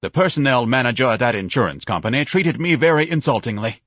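A man's voice: mid-range voice, animated, fast talker, a bit bright, no echo, clean studio sound, pitched above the performer's own voice. A man voicing a haughty, self-pitying grown son who declaims in grandiose, over-articulate phrases, as an audiobook character. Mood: aggrieved, haughty.

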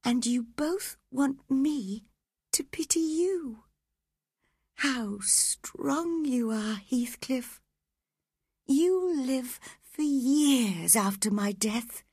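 Brief: woman reader doing a frail dying young woman, breathy, slow, pleading. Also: high voice, animated, darkish tone, dry recording, clean studio sound, voice pushed up, exaggerated voice. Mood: tender pleading. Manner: breathy, pleading, often whispered. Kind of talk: audiobook character reading.

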